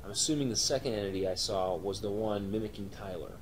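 A man playing a shaken, solemn police officer in an analog horror drama, speaking in a halting, matter-of-fact way. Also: close to an everyday voice. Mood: shaken, grim. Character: grim, shaken male police officer investigating monsters. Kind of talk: analog horror found-footage acting. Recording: noisy, rumbling amateur recording.

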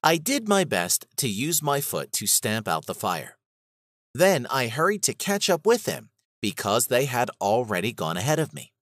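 A man reading a scripted children's story aloud as narrator, in an expressive manner. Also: mid-range voice, moderate pace, no room sound, clean studio sound.

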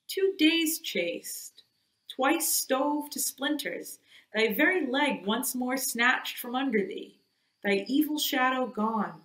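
A woman's voice: fairly high pitch, fairly bright tone, pitched above the performer's own voice. A woman reading an audiobook, voicing a god-fearing male first mate who implores urgently, in an echoey room.

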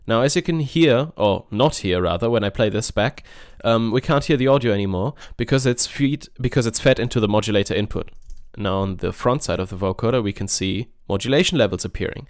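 Guy doing a laid-back tutorial, kinda low voice, dry sound, talks offhand. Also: no echo. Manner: informal, offhand, mildly sweary. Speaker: music software tutorial host. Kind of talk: casual screen-recorded tutorial.

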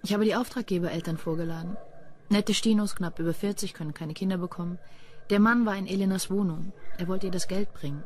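A woman's voice: mid-range voice, steady tone, fast talker, a little mellow, lightly acted. An actress playing a terse, sardonic female investigator in a crime radio drama. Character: brisk, sardonic female investigator named Katja.

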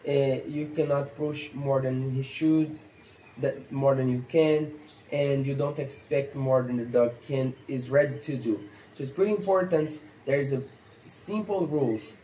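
Brazilian-accented man, thirties, mellow dark tone, halting non-native phrasing, roomy echo, faint birds outdoors. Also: mid-range voice, slight background noise. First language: Portuguese. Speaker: stock dog trainer. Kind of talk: instructional talk to camera. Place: outdoor patio by a pool.

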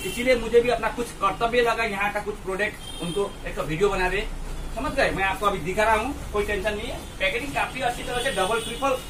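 A man's voice: high-pitched, brisk. A man in his thirties from India giving a casual product review to camera, explaining and often checking that viewers follow. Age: 30s. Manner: explanatory, repeatedly checking with catchphrases like a tag question. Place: small cluttered sound-equipment shop, indoors. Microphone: camera or phone mic a short distance away. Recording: noisy shop recording, distant mic.

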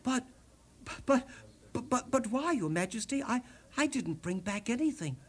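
A man voicing a gentle, soft-hearted young prince in a storybook reading, speaking earnestly and hesitantly. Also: extremely high pitch, sing-song, moderate pace, breathy edge, voice pushed up, full character voice. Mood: bewildered.

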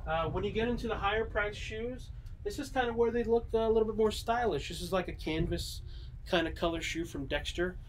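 A young man casually showing bowling shoes in a small pro shop, relaxed and full of ums and uhs, heard from a camera a few meters away. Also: sits high, a little fast, a little hiss or hum, rough recording. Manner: easygoing, many ums and uhs, showing items in hand. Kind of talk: casual product walkthrough. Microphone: camera mic at a few meters.

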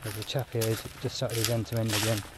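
An older Australian man chatting casually as he vlogs from a bush campsite, relaxed and rambling with fillers. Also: fairly low pitch, a little fast, heavy background noise. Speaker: outdoor gear vlogger. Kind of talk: casual vlog narration. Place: outdoors in bushland at a trail shelter. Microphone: action camera on the body or nearby. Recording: outdoor camera audio with clear bush ambience.

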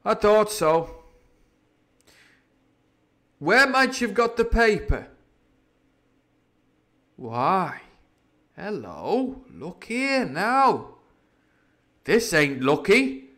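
A man voicing a crafty older pirate sea cook who talks in a hearty, coaxing, gruff way. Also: sits high, very animated, drawn-out words, pauses often, fairly bright tone, pitched above the performer's own voice, heavily acted. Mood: wary.

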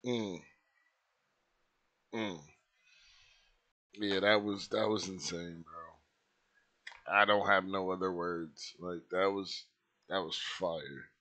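A man in his thirties hosting a music reaction video at home, talking casually into a headset mic, with slangy remarks and excited outbursts. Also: low voice, slow pace, pauses often. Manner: excited outbursts, laid-back slangy talk. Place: small room at home, indoors.

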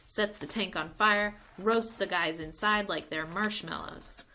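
A woman voicing a brash, boastful schoolboy in a storybook, with blunt, excitable delivery. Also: medium pitch, a little slow, a little hiss or hum. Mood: excited boasting.

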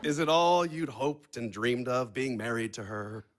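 A man's voice: medium pitch, animated, unhurried, close to an everyday voice. Actor as a sardonic male money manager, blunt, dry, pressing, audio play dialogue. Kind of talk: audio drama acting.

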